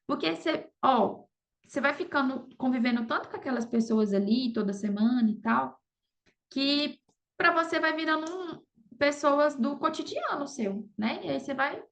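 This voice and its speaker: A woman's voice: high-pitched, animated, moderate pace, gravelly, a bit bright. A Brazilian woman speaking Portuguese as a podcast guest, telling her story casually and often seeking agreement with little tag questions. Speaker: podcast guest, affiliate marketer. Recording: dull lo-fi audio.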